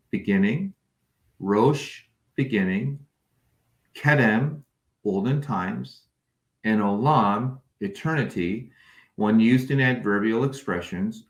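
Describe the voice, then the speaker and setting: Male academic guest, native English speaker, low register, careful and precise delivery, echoey room. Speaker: Hebrew scholar, interview guest. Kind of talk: scholarly explanation, sometimes reading aloud. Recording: echoey, roomy sound.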